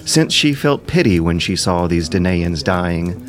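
A man's voice: low voice, expressive, a little slow, faint music behind. A man delivering solemn, declamatory scripted narration of an epic in an audio drama.

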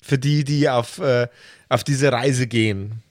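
A man's voice: mid-range voice, slow, dry recording, clean studio sound. A man explaining things casually as a game master, with a jokey, slangy way of talking. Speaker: game master of a role-play podcast.

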